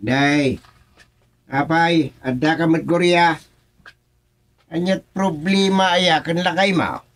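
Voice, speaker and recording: A man's voice: on the high side, fairly even intonation, slow pace, fairly bright tone, no room sound. An actor with an Ilocano Filipino accent playing a stern, dismissive village chairman who scolds and mixes in English, in a comedy radio drama. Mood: stern, scolding. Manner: scolding, mixes in English, repeats words for emphasis.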